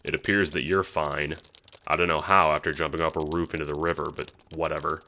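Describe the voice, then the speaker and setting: A man voicing a sweary young cool-guy gamer in an audio drama, slangy, deadpan and sardonic yet easily rattled. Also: very deep voice, a little fast, background noise. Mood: terse, resigned.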